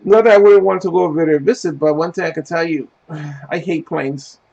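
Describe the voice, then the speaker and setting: Gravelly laid-back streamer man. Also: a little high, brisk, a little mellow, dull audio. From Puerto Rico. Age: 30s. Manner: laid-back, profane, laughing easily. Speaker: game streamer. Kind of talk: casual chat while gaming. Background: faint game music.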